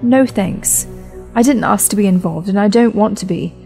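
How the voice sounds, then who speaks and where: A woman's voice: mid-range voice, moderate pace, creaky, dark tone, soft background music, close to an everyday voice. A woman playing a weary, irritable young woman traveller in an audio drama, sighing and sarcastic. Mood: bitter, irritated. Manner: exasperated, sarcastic sighing delivery.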